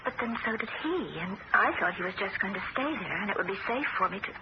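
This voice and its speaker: A woman's voice: medium pitch, lively intonation, quick pace, close to an everyday voice. An actress playing a fragile, frightened young woman who dwells on death, in a radio drama, talking dreamily and fearfully. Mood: anxious. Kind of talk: 1970s radio drama acting. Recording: muffled old radio broadcast with loud hiss.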